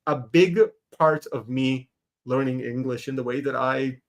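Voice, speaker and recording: A man's voice: mid-range voice, steady tone, measured pace. A man, a Hebrew speaker, talking animatedly as a guest in a casual podcast interview, laughing often. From Israel. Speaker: guest artist.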